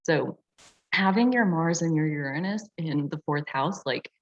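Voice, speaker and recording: A woman's voice: low-pitched, animated, unhurried. A woman teaching in a casual, reflective way on an online group call, often saying "like" as she explains. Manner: reflective, frequent "like" filler. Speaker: astrology teacher and session facilitator. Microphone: video call. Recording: dull, lo-fi video call audio.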